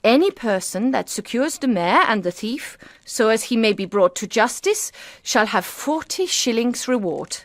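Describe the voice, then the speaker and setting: A woman's voice: fairly high pitch, expressive, moderate pace, few pauses. An actress in a period radio drama playing an anxious, kindly eighteenth-century farmer's wife, polite and earnest in her speech. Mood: reading out indignantly.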